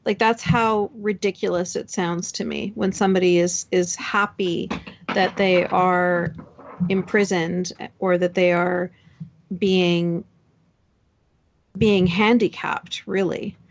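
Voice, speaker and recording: A woman's voice: lowish voice, fairly even intonation, slow pace, gravelly. A woman from Canada, talking casually on a panel and arguing her point informally. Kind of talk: casual conversational commentary. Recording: dull, lo-fi sound.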